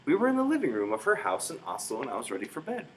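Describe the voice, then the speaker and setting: A man reading a story aloud in expressive narration, with dramatic emphasis. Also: medium pitch, rapid-fire, slight background noise, low-quality recording. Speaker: storybook reader.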